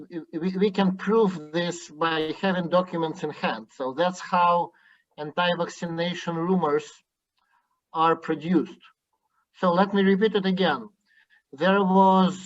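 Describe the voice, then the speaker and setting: A man on a video call, asking pointed, probing questions in a roundtable discussion. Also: sits high. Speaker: panelist. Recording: muffled video call audio.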